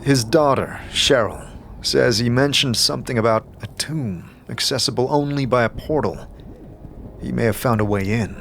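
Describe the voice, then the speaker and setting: A man's voice: medium pitch, moderate pace, creaky, fairly bright tone, a little hiss or hum. A man playing a hesitant, polite and wryly squeamish wanderer in a fantasy audio drama, often stammering. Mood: earnest, searching.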